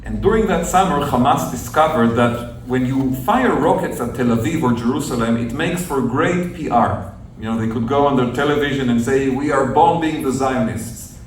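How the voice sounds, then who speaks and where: A young adult man, a journalist telling a group his story in an earnest, measured, explaining way. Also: mid-range voice. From northern Israel. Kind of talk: storytelling talk to visitors. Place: outdoors at a kibbutz near the Gaza border. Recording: very echoey, distant outdoor recording with faint noise.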